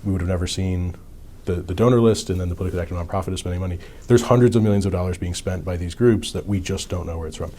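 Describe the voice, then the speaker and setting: Male researcher, deep mellow voice, conversational interview explanation. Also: rapid-fire. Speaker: campaign finance researcher interviewed.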